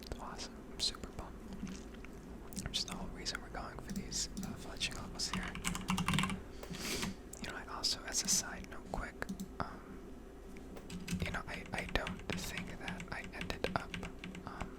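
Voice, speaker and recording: A woman whispering a casual, rambling ASMR narration over gameplay, with hesitant pauses. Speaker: video game streamer narrating gameplay.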